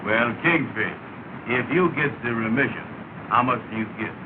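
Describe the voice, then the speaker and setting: An actor playing a self-important, bossy man who drawls slowly and fumbles big words in an old film comedy. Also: medium pitch, lightly acted. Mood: pompous. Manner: slow, self-important, blustering. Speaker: comic lead in a 1930 film. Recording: scratchy, tinny, hissy 1930 film sound.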